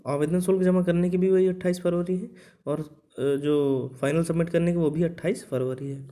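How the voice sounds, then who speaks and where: A man with a soft, mellow voice pitched a little high. He talks like a coaching instructor going through an official notice for students, conversational and explanatory, often adding small tag words to check in. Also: fairly even intonation, normal speed. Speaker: exam coaching instructor and channel host. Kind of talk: informal explanatory lesson, reading out a recruitment notice.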